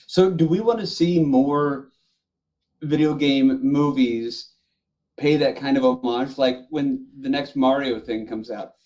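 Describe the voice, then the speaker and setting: A man's voice: mid-range voice, a little slow, darkish tone, lo-fi sound. A man hosting a casual panel chat about films, speaking in an enthusiastic, emphatic way. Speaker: podcast host.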